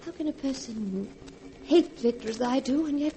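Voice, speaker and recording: A woman's voice: high-pitched, expressive, moderate pace, dark tone. An actress playing an earnest, conflicted young woman in a fervent 1940s radio drama style. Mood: confused, brooding. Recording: noisy vintage 1940s radio recording.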